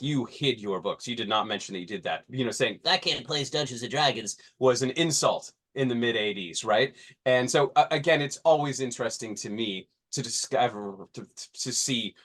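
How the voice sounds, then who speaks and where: A man's voice: medium pitch, a bit bright. A man in his forties co-hosting a podcast in casual discussion, rambling and often restarting mid-thought. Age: 40s. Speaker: podcast co-host.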